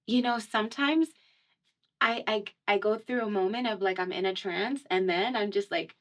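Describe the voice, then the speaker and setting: A woman's voice: mid-range voice, normal speed, a bit bright. A young woman from Miami talks casually in a radio studio, guesting as a singer. Her speech is chatty and meandering, full of fillers, with frequent light laughs. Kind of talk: casual conversational interview.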